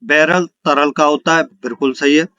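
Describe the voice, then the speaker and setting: A man's voice: on the high side, fairly even intonation, moderate pace, pushed and bright, smooth, muffled recording. A man teaching an exam lesson, reading out questions and answers and repeating them for emphasis.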